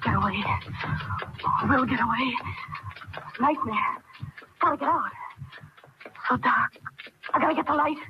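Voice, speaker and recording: Terrified, high-voiced young captive. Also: female voice, a little slow, long pauses, over loud music. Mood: panicked. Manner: breathless, frantic, short bursts. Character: terrified, defiant rich young woman, captive. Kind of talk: old-time radio horror acting. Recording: very noisy, dull vintage radio recording.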